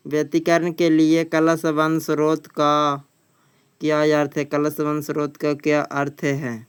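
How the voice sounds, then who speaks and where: A man's voice: on the high side, completely flat delivery, slow pace, smooth. A man reading out practice questions and answers as a teacher, plain-spoken and repeating phrases. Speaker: teacher, exam coaching.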